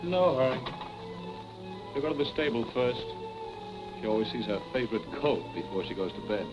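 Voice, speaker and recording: An actor playing a suave young husband in a 1940s film melodrama, wry, evasive and easily exasperated. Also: male voice, mid-range voice, expressive, normal speed, close to an everyday voice. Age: young adult. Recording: muffled 1940s film soundtrack, music behind.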